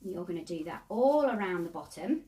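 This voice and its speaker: A woman's voice: lowish voice, animated, moderate pace, mellow, far from the mic. A woman hosting a casual hands-on craft tutorial, chatty and talking to herself as she works. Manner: chatty, self-talking while working.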